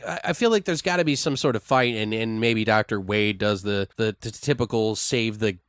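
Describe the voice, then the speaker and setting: A man in a casual creative brainstorming chat, informal and sweary, thinking aloud in halting repetitions. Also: fairly low pitch, dry recording, clean studio sound. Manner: foul-mouthed, informal. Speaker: co-host brainstorming a horror audio drama.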